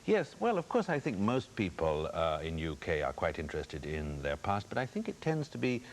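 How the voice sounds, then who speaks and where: Deep-voiced man with lively, jovial intonation. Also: moderate pace. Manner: lively, jovial, self-deprecating. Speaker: co-host. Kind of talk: radio interview. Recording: faint hiss.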